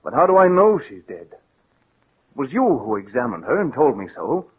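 A man's voice: mid-range voice, big pitch swings, normal speed, husky. A man acting a guilt-haunted, suspicious and insistent man in a 1940s radio drama. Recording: muffled vintage 1940s radio recording.

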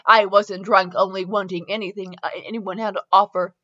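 A woman's voice: mid-range voice, steady tone, fairly quick, sharp tone, pitched below the performer's own voice. Young male writer played by a woman, earnest, wistful narrator of a tragic love story. Dramatic reading, lo-fi sound. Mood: tender, dreamy. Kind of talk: dramatic fan fiction reading.

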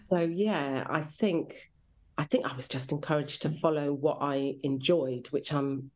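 Low-voiced woman, thoughtful and halting. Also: darkish tone. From rural Devon, England. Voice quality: stammer. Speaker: podcast guest, drama commissioning editor. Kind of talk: reflective casual interview answers.

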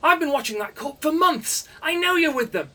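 A man doing a furious, shouting enforcer. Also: very high-pitched, expressive, moderate pace, voice pushed up, full character voice. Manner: shouting, aggressive. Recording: voice a bit distant from the mic.

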